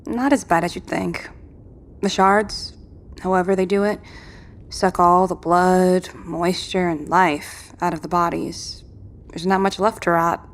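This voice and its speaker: A woman's voice: lowish voice, fairly even intonation, unhurried. A woman playing a determined, world-weary apocalypse survivor in an audio drama, speaking in a terse, matter-of-fact and commanding way. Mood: grim, matter-of-fact.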